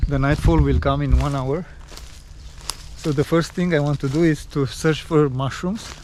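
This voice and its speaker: Mellow-voiced man vlogging outdoors. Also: on the high side, fairly even intonation, normal speed, a little hiss or hum. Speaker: travel vlogger. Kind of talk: casual outdoor vlog talk to camera. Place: outdoors by a forest.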